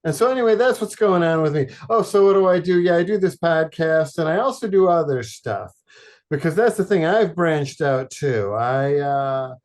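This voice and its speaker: A man from Detroit, a podcast guest, chatting casually in a halting, rambling way with many fillers and deadpan jokes. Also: on the high side. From Detroit, Michigan. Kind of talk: casual chat.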